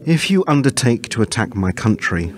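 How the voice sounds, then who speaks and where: An actor in a radio play playing a grave ambassador with a formal, measured manner. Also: male voice, mid-range voice, sing-song, slight background noise, natural voice. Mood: grave warning. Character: grave, formal male Middle Eastern ambassador giving warning. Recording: clear crowd of voices behind.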